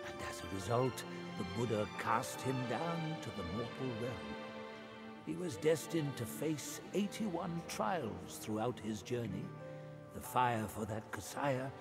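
A man giving scripted cinematic narration for a video game, measured and solemn. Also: mid-range voice. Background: game music.

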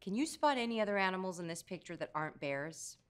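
A woman's voice: on the low side, expressive, fast talker, smooth. A woman in her thirties reading a children's storybook aloud expressively, with playful, emphatic asides for young listeners.

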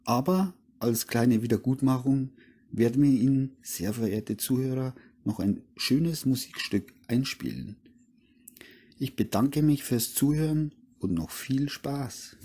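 A man's voice: mid-range voice, unhurried, dark tone, breathy edge. A man making a stiff, mock-formal announcement to listeners of an amateur radio play.

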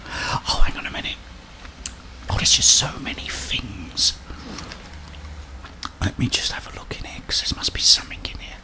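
A middle-aged man with a British accent whispers his way through a video game in ASMR style. He thinks aloud in a soft, breathy murmur, close to the microphone, as if muttering to himself. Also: normal speed, pauses often, rough recording. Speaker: gaming streamer.

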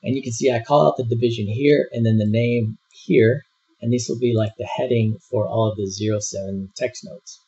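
Husky, gravelly man walking through software. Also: mid-range voice, moderate pace. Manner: relaxed step-by-step walkthrough with frequent fillers. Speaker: software instructor. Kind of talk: screen-recorded software tutorial. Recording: dull lo-fi voice-over.

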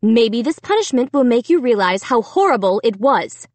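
A woman's voice: fairly high pitch, lively intonation, unhurried, no echo. Woman acting a stern, exasperated storybook mother. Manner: firm, sharp, commanding. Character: stern, exasperated mother scolding her children.

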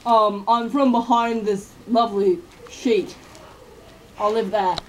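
A woman's voice: medium pitch, steady tone, slow pace, recorded from a distance, slight background noise, natural voice, poor audio. A young woman voicing a boastful male doll character in a homemade puppet show, joking and teasing. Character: boastful doll guy Georg with a hat.

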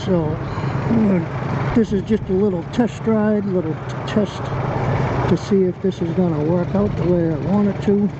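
A man's voice: high-pitched, steady tone, unhurried. A man in his sixties with an American accent, chatting casually and grumbling as he rides a motorcycle. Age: 60s. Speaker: motorcycle vlogger. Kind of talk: casual chat while riding. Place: outdoors on a moving motorcycle. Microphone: helmet intercom headset. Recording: muffled helmet intercom with heavy wind noise.

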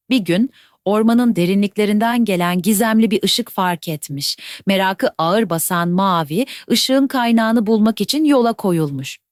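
Gentle woman telling a bedtime tale. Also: medium pitch, no echo, clean studio sound. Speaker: storyteller. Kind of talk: scripted bedtime fairy-tale narration.

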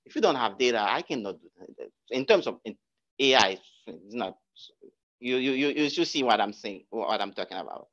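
A man giving a guest talk, answering audience questions in a lecture room, halting with many restarts and repeated words. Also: medium pitch, animated, a bit bright, muffled recording. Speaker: guest speaker.

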